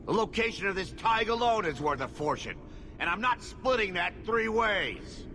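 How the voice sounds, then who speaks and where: An actor playing a greedy, treacherous dwarf in a video game, talking in a smug, sneering way. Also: male voice, high voice, sing-song, normal speed, pushed and bright, a little hiss or hum, exaggerated voice. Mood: smug betrayal.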